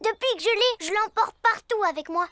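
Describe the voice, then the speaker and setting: A performer voicing an eager, excitable young boy superhero in a cartoon, with childlike exclamations. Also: very high-pitched, fairly quick. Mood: earnest. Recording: loud music behind.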